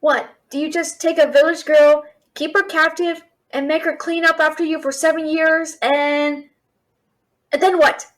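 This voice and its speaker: A woman acts out a feisty young thief from a fantasy audio drama, caught by a dragon and full of questions. Her voice is very high and expressive, with a slow pace. She talks straight to the listener in a sassy, incredulous manner. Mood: incredulous, skeptical. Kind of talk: fantasy audio roleplay, one-sided dialogue to listener.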